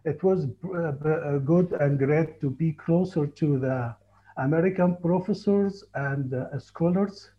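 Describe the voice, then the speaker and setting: A man in his forties from Egypt with an Egyptian accent, telling his life story in a halting, earnest way over a call. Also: a little high. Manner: halting, earnest, frequent restarts. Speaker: tour guide and Egyptologist. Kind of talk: casual interview on a call. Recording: muffled lo-fi call audio.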